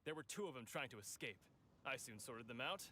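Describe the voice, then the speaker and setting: A man's voice: mid-range voice, animated, a little fast, bright, forceful tone, a little hiss or hum. An actor playing a boastful, cocky male brawler who brags and chuckles at his own stories, in video game voice acting.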